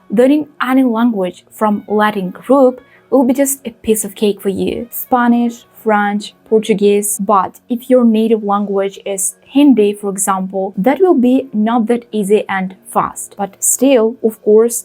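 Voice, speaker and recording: A woman's voice: a little high, a little mellow, rough recording. A young woman whose first language is Ukrainian, giving direct, opinionated advice to camera as a language vlogger. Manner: direct, opinionated, with quick asides. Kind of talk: casual talking-to-camera advice.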